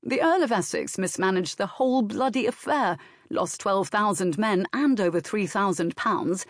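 An earnest young gentleman, voiced by a woman narrator, outspoken and emphatic. The pitch sits raised and the recording is dry, as in a historical audiobook. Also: expressive, normal speed, no echo. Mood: blunt. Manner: vehement, emphatic. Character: earnest, outspoken young man, loyal brother.

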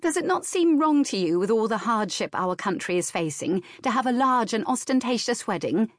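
A measured elder sister with dry wit, acted by a woman narrator. She lectures with gentle reproof, pitched somewhat high in a close, dry audiobook recording. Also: big pitch swings, dry recording. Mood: firm.

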